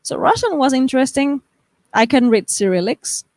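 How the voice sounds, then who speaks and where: A woman's voice: sits high, very animated, normal speed, a little mellow, no room sound. A young woman from the United States hosting a live stream, chatting casually and reading viewer comments aloud, often laughing and restarting her sentences.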